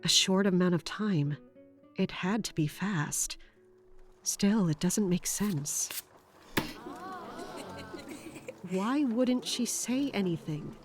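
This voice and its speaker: A woman's voice: medium pitch, lively intonation, slow pace, faint music behind. An actress playing a serious, dutiful young royal bodyguard in an audio drama, formal and guarded in her speech. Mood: suspicious, troubled.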